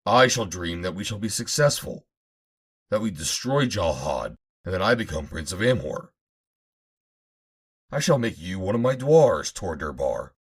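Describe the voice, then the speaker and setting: A man reading an adventure novel aloud as an audiobook narrator, in a measured, formal storytelling manner. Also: low voice, sing-song, husky. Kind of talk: dramatic scripted narration of an old adventure novel.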